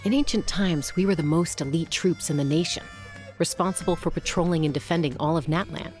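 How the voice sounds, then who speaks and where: Chill young warrior woman actress voicing, lowish voice, measured, game music behind. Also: a little mellow, lightly acted. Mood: proud. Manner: easygoing and measured. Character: calm young female warrior peacemaker.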